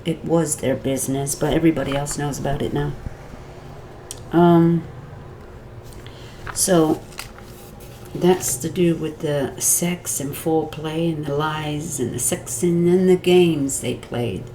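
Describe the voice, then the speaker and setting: A middle-aged woman with a Wisconsin accent recaps the day's trial for her viewers in a slow, unhurried ramble. Her voice sits low and mellow, and her delivery is chatty and meandering, drifting off topic before finding its way back. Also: steady tone, slight background noise, low-quality recording. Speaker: vlogger recapping a court trial. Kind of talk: rambling casual commentary.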